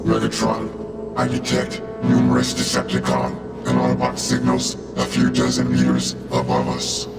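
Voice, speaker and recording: Gravelly, echoing robot analyst. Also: male voice, mid-range voice, moderate pace. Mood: cold, factual. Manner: monotone, clipped, formal. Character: stoic robot soldier reporting analysis to his leader. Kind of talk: video game robot acting. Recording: echoing, with loud game music.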